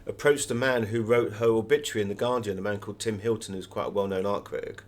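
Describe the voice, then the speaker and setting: A man's voice: lowish voice, fairly even intonation, quick pace. A British man speaking as an interviewed author, telling anecdotes in a wry, chatty and emphatic way.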